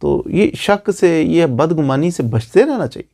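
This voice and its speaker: Man, Pakistani accent, gravelly creak, somewhat raised pitch, informal lecture, rhetorical questions, repeated key phrases. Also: lively intonation, measured pace, dark tone. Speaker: lawyer giving legal advice. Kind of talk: informal explanatory lecture with moral exhortation.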